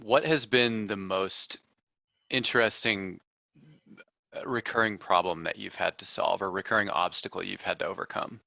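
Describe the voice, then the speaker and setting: A man's voice: sits low, normal speed, vocal fry, bright, forceful tone, dry recording, muffled recording. A man hosting a podcast interview, asking questions in a curious, casual way. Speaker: podcast host.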